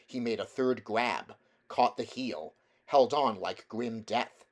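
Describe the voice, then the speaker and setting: A man's voice: medium pitch, takes it slowly. A man reading a children's fantasy novel aloud as scripted storybook narration. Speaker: amateur audiobook reader.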